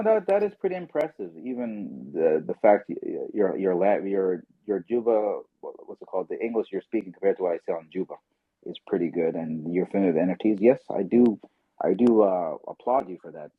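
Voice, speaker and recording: A man hosts a casual podcast over a tinny, phone-like line. His voice sits a little low and sounds husky, and the dry sound has no room around it. He talks in a loose, chatty way. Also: big pitch swings, a little fast, no echo. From South Sudan. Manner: chatty, acts out voices when telling stories. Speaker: podcast host. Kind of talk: casual chat on a group audio call.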